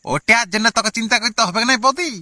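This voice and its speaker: A man's voice: very high voice, animated, fast, pushed and bright, dry recording, studio quality, full character voice. A man voicing a cheeky, brazen young village prankster in a comic cartoon, with a rural Purulia Bengali accent. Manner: teasing, brazen. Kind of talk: amateur comic cartoon dialogue.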